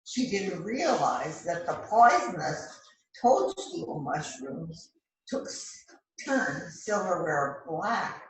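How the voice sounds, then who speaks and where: A woman playing a bossy, showy police detective in an amateur readers theater rehearsal, speaking in a deliberate, emphatic way. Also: fairly low pitch, big pitch swings, heavily acted. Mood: triumphant. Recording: roomy, distant mic with faint noise.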